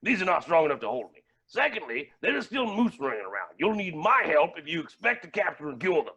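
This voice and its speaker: Pompous villain billionaire, male actor: flamboyant, bright and forceful, raspy edge, staged comedy reading. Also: a little high, big pitch swings, fairly quick, heavily acted, low-quality recording. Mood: smug, pompous. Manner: pompous, grandiose.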